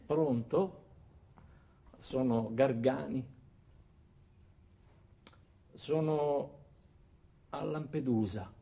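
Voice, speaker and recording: A man voicing a weary, subdued male client who complains haltingly on the phone in a comic stage monologue. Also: medium pitch, gravelly, warm tone, breathy edge, pitched below the performer's own voice, full character voice. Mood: gloomy.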